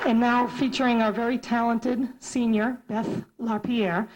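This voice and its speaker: A woman's voice: on the high side, flat delivery, unhurried, crowd noise, background noise. A woman music teacher giving a formal ceremony speech in a school auditorium, reading prepared remarks.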